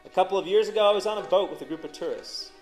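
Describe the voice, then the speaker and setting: A man's voice: sits high, racing, a little mellow, faint music behind, pitched above the performer's own voice, lightly acted. A man voicing an ominous male campmate in a told story, dramatic and emphatic, full of warning.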